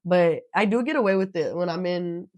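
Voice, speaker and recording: A young woman chatting casually as a podcast guest, self-mocking and quick to laugh. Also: lowish voice, darkish tone, no room sound, clean studio sound. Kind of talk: casual chat.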